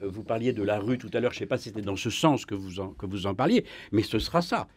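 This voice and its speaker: A man speaking as a historian guest in a radio debate, emphatic and full of restarts, his pitch rising when he presses a point. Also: expressive, fast talker, darkish tone, husky. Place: radio studio.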